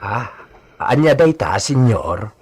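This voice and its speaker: An actor playing a deferential, hesitant ranch hand who pleads with his boss, in a raspy voice, for an Ilocano comedy radio drama. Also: mid-range voice, sing-song, takes it slowly, a little mellow, full character voice.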